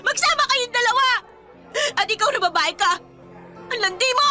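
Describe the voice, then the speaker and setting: A jealous, explosive girlfriend in a radio romance. Her voice is very high and bright, swinging widely as she confronts and taunts. Music plays behind her. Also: expressive, normal speed, full character voice. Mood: furious, tearful. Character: jealous, scorned young girlfriend, mocking, explosive and wounded. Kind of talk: Filipino radio romance drama acting.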